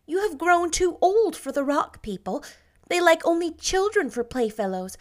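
A woman voicing a dreamy, gently wise young teacher who speaks in a wistful, playful way. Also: very high voice, very animated, normal speed, voice pushed up.

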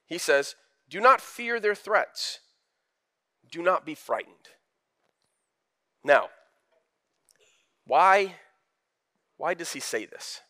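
A man's voice: fairly high pitch, big pitch swings, extremely slow, long pauses, pushed and bright. A man preaching a sermon as pastor, candid and conversational, building to emphatic rises.

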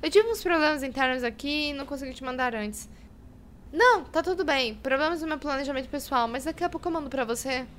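A woman's voice: high-pitched, animated, quick pace, a bit bright, a little hiss or hum. An actress playing a frazzled, impulsive young woman fretting over money, with a Brazilian accent, in an audio drama. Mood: self-reproaching. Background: music behind the voice.

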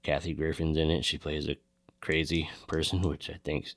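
A man's voice: rumbling low, level tone, moderate pace, no room sound. A man co-hosting a casual chat about films, talking informally and swearing freely. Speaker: podcast co-host.

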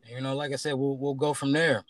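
A man's voice: mid-range voice, little pitch movement, fast talker, dry recording. A man hosting a podcast in casual interview chat, laid-back and full of fillers. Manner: laid-back, many fillers and "you know what I'm saying". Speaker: podcast host.

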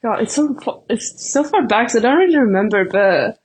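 A woman's voice: fairly high pitch, lively intonation, brisk, breathy edge. A woman in her twenties with a Swedish accent, Swedish native speaker, answering interview questions casually and hesitantly, full of fillers. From Sweden. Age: 20s. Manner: hesitant, many fillers like "like" and "I don't know". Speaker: athlete guest.

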